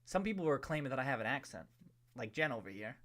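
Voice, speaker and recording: A man's voice: mid-range voice, sing-song, fast, a bit bright. Chatty man hosting a livestream. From New England. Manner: loose and chatty, many restarts, hums a tune. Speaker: livestream host. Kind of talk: casual livestream chat reading viewer comments.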